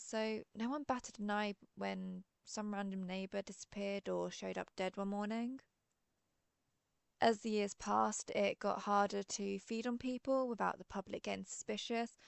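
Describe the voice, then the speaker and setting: Girl doing a friendly old vampire lady for audio roleplay, chatty n theatrical, bit of vocal fry, super dry close sound. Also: medium pitch, no room sound. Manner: playfully grand, chatty, self-amused. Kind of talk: scripted audio roleplay monologue.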